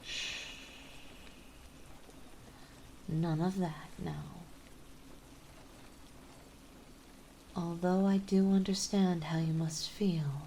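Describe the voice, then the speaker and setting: A woman playing a genteel, possessive vampire mistress in an ASMR roleplay, speaking softly, politely and soothingly. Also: lowish voice, fairly even intonation, slight background noise. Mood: calm, candid. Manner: soothing, softly spoken, polite, unhurried, doting. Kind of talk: ASMR roleplay acting, partly whispered.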